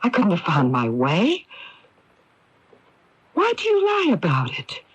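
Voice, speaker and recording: An actress playing a scheming, cold-blooded wife in an early 1980s radio mystery, with deliberate, dramatic delivery. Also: female voice, lowish voice, huge pitch swings, breathy edge, no echo, full character voice. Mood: alarmed, suspicious. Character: scheming, cold-blooded wife who poisoned her husband. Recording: muffled lo-fi 1981 AM radio recording.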